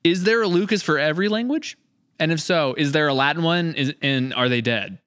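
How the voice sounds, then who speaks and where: A man's voice: fairly high pitch, a little fast, a bit bright, clean tone. A man hosting a casual interview podcast with a playful, joking manner, speaking into a studio microphone. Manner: jokey, playful. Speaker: podcast host. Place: large indoor studio room. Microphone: podcast microphone on a boom arm.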